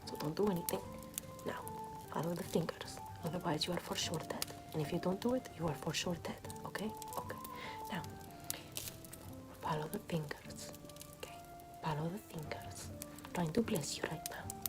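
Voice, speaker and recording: A woman playing a callous, morbid medieval nun in ASMR roleplay, murmuring in a soothing, repetitive deadpan. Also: lowish voice, normal speed, long pauses, close to an everyday voice. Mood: deadpan insistent. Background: music.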